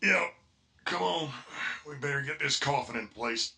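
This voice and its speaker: An actor playing a nervous, gossipy working-class undertaker's helper with slangy talk in a 1930s-style radio horror drama. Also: male voice, on the high side, measured pace, creaky, bright, forceful tone, heavily acted. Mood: brisk, gossipy.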